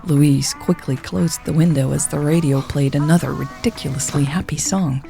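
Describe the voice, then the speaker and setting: A woman's voice: low-pitched, steady tone, normal speed, gravelly, mellow, faint music behind. A woman reading a scripted story as a narrator, voicing the dialogue as she goes. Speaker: audio fiction narrator.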